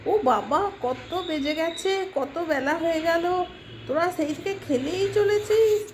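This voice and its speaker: A woman's voice: extremely high pitch, expressive, a little slow, voice pushed up. A woman voicing a fussing, complaining village mother in a homemade doll-play story. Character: scolding, hard-working village housewife and mother, bustling and nagging. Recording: noisy homemade recording.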